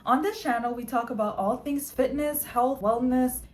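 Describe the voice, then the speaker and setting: A woman's voice: sits high, normal speed, slight background noise. A woman presenting a scripted explainer as a fitness and wellness video creator, articulate, orderly and informative. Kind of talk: scripted explainer narration to camera.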